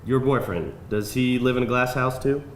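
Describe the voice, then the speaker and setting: Man acting smooth-talking businessman, persuasive, earnest, live table read, roomy, noisy background. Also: medium pitch, normal speed, lightly acted. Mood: defensive, insistent. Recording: roomy live event, clear background noise.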